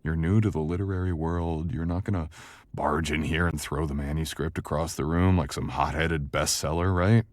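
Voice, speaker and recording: A man playing a sharp, confident, flirtatious book editor in an intimate, conversational audio roleplay, speaking in a measured, teasing manner. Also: rumbling low, steady tone, no echo. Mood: teasing, encouraging.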